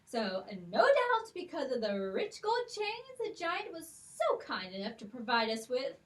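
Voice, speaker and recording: A woman's voice: sits high, huge pitch swings, measured pace, recorded from a distance, exaggerated voice. A woman voicing Molly, a brave, clever poor young girl with a plucky, cheeky way of talking in a children's puppet show. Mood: scolding.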